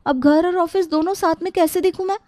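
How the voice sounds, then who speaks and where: A woman's voice: very high voice, fairly even intonation, brisk, warm tone, dry recording, studio quality, pitched above the performer's own voice. A woman voicing an overworked, resentful young working daughter-in-law with a complaining, pointed manner. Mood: exasperated.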